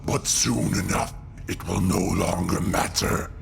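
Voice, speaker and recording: A man playing a cold, cruel god-killing villain in an audio drama, speaking in a gravelly, deliberate and threatening way. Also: sits low, steady tone, exaggerated voice. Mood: grim, ominous. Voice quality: gravelly, breathy in places. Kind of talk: comic book audio drama acting. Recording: music under the voice.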